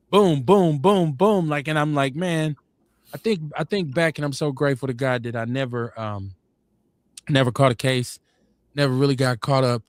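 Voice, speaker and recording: A man in his forties telling stories in casual chat, rambling and laid-back, getting animated when quoting others. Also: mid-range voice, dry recording. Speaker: podcast host.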